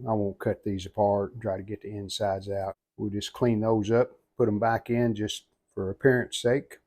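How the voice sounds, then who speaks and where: A man's voice: fairly low pitch, a little mellow. A middle-aged man giving unscripted how-to commentary at a workbench in a plain, folksy, matter-of-fact way. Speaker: hobbyist repair vlogger. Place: indoor home workshop at a workbench.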